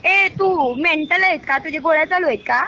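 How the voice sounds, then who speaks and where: A woman's voice: high voice, moderate pace, sharp tone, faint music behind. A woman with a Marathi accent on a phone call, speaking in a curt, irritated, scolding way. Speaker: beauty parlour worker receiving a call. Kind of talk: exasperated phone conversation. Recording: dull lo-fi phone line.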